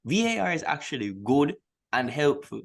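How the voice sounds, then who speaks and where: A man's voice: a little high, very animated, moderate pace, vocal fry. A man co-hosting a sports podcast, giving emphatic opinions in a casual discussion and repeating points to stress them. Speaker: podcast co-host.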